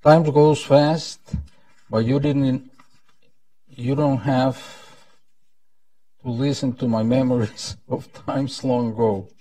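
Slow-speaking older Costa Rican man, mellow voice. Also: medium pitch, steady tone, dry recording, distant mic. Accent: Costa Rican Spanish. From Costa Rica. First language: Spanish. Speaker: event host, former ambassador. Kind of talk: formal prepared welcome speech. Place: indoor conference room at a lectern. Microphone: lapel mic.

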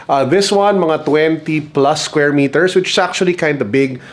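A man's voice: fairly high pitch, moderate pace. A Filipino-accented man in his thirties chatting casually and playfully as a travel vlogger, recorded on a handheld camera. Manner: playful, informal. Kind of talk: casual vlog chat mixing Tagalog and English. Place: on the go: hotel room, malls, streets, restaurants. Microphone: handheld camera or phone at arm's length.

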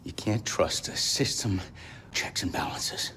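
An actor playing a gruff, cynical middle-aged militia leader in a game, grudging and preachy in manner. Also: male voice, sits low, animated, unhurried, pushed and bright, slight background noise, exaggerated voice. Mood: bitter conviction.

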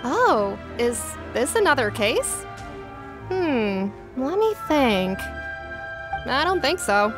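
An actress playing a brisk, practical female weapon smith in a video game, chatty and expressive. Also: high-pitched, over music, exaggerated voice. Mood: musing. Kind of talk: video game dialogue acting.

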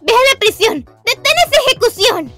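A woman playing a stubborn, spirited young detective in a game, with a very high voice. She speaks Castilian and delivers lines dramatically over faint music. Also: lively intonation, fairly quick, bright, forceful tone, exaggerated voice. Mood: determined, urgent. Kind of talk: live fan dubbing of a visual novel game.

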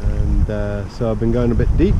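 A man's voice: fairly low pitch, level tone, normal speed, muffled recording, background noise. Laid-back man sailing. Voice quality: slightly nasal. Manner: laid-back, chatty, swears casually. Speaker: solo sailor vlogging his voyage. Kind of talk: casual running commentary. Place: on deck of a small sailboat at sea, outdoors. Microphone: action camera on the boat.

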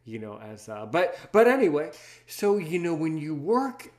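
A man's voice: fairly high pitch, big pitch swings, moderate pace, a little mellow. A man from Maryland hosting a podcast, talking in a rambling, teasing way and often repeating his words. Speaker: podcast host. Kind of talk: casual interview chat.

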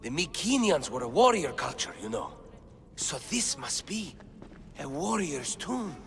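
Nerdy scholar in a game, eager, highish swoopy voice, big cave reverb. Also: male voice, sing-song, a little slow. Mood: eager, intrigued. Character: eager, bookish, excitable Greek scholar man. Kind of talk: video game dialogue. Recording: long cavernous reverb, faint ambient noise.

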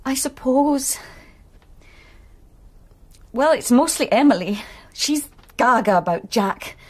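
An actress playing a troubled young woman student in a radio drama who confides reluctantly in a hushed voice. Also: sits high, expressive, slow, exaggerated voice. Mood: worried.

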